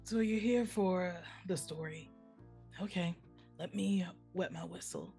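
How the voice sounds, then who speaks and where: A chatty, proud elf storyteller played by a woman spins tales straight to the listener in a folksy, conversational way. The voice sits a little low, with music playing along in a live script read. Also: measured pace, vocal fry, warm tone. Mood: chatty, wry.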